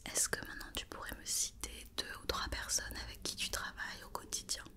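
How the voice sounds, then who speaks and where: Female ASMR performer, fully whispered, gentle and reassuring clinician giving patient instructions. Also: fairly quick. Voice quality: soft unvoiced whisper. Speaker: ASMR roleplayer playing a company doctor examining a robot. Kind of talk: whispered ASMR roleplay in French.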